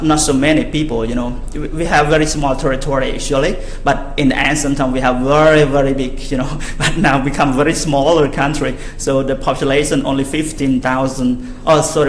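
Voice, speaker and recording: A man's voice: medium pitch, fairly even intonation, fairly quick, hardly any pauses. A man from Cambodia with a Cambodian accent, whose first language is Khmer, giving a conference talk in an informal, joking way with many fillers and restarts. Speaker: conference speaker. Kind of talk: conference talk to a live audience. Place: large conference hall. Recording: echoey hall, faint background noise.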